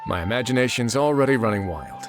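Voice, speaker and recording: A man's voice: medium pitch, expressive, quick pace, music in the background, lightly acted. A man playing a wry, unflappable, easygoing prison administrator in a video game, with a dry, teasing manner. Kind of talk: video game character acting.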